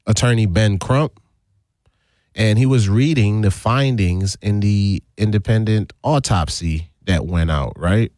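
A young man co-hosting a radio show, joking around in slangy, animated banter. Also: fairly low pitch, no echo, studio quality. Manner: animated, laughing, slangy, quick to imitate others. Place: radio studio.

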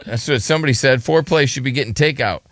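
A man's voice: mid-range voice, fast, a bit bright, no echo. A male radio host in casual studio chat, earnestly giving relationship advice and asking probing questions.

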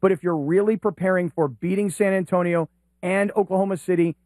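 A man's voice: sits high, steady tone, brisk, a little mellow, dry recording, clean studio sound. A man co-hosting a sports talk show in a wry, teasing way. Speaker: sports talk radio co-host.

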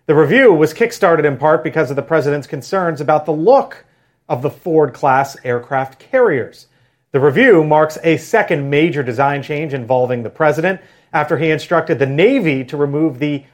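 Brisk male news anchor. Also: a little high. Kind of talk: news reading.

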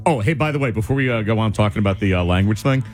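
A man's voice: fairly low pitch, animated, rapid-fire, faint music behind. A man hosting a radio call-in show, chatting casually and joking with laughs. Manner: jokey, laughs often, teasing. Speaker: radio talk show host.

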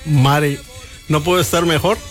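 A man's voice: a little high, fairly even intonation, moderate pace, soft background music. An older man from Mexico, a guest on a radio show, speaking earnestly and reflectively in a studio booth. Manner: earnest, reflective, with frequent restarts. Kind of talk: radio interview.

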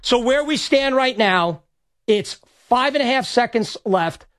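Male radio sports host, high-pitched and a little hoarse, rambles and stumbles with nervous excitement, dry studio sound. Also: a little slow, no room sound. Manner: excitable, rambling, stammers and repeats himself. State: slightly hoarse. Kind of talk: live game reaction talk.

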